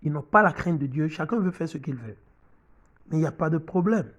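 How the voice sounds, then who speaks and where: A man's voice: a little high, lively intonation, brisk, a bit bright, lo-fi sound. A middle-aged man preaching and teaching from the Bible in an emphatic way, often repeating himself and asking his listeners if they follow.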